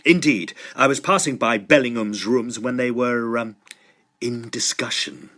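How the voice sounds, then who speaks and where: An actor playing an earnest, blunt young Victorian student in an audio drama, with emphatic old-fashioned phrasing. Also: male voice, mid-range voice, unhurried, sharp tone. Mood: uneasy, confiding. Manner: emphatic, old-fashioned turns of phrase, some hesitant stammering. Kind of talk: period audio drama acting.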